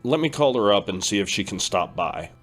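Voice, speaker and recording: A man's voice: lowish voice, fairly quick. Man playing a reassuring older brother. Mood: warm. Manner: reassuring, steady. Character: calm older-brother dream instructor, reassuring. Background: faint background music.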